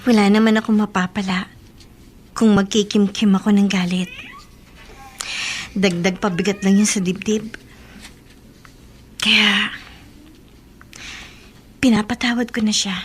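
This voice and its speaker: A woman's voice: medium pitch, fairly even intonation, measured pace, pauses often, slight background noise, natural voice. A young actress playing a polite, earnest young woman who is soon to marry, in a radio drama.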